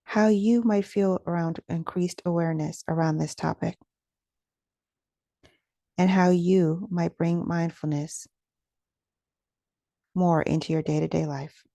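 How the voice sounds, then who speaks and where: A woman guides a meditation in a relaxed, warm voice that sits a little low. Her delivery is gentle and soothing, with soft pauses and a noticeable vocal fry as she gives each instruction. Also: dry recording. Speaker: guided meditation teacher and host.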